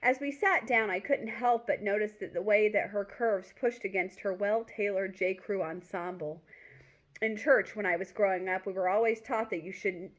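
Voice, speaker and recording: A woman reading a first-person story aloud in an expressive, conversational way, occasionally stumbling and rereading words. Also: medium pitch, normal speed.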